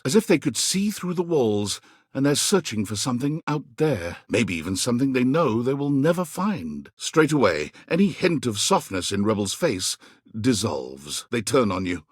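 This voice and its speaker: A man playing a wry, theatrical video game narrator, husky and measured, with a dryly mocking edge. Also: mid-range voice, no echo, clean studio sound. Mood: wry, dramatic.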